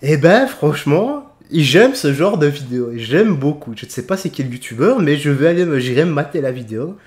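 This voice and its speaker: A man's voice: on the high side, lively intonation, moderate pace, darkish tone. A young man giving casual, mocking reaction commentary on news clips from a small room at home, laughing and exclaiming often.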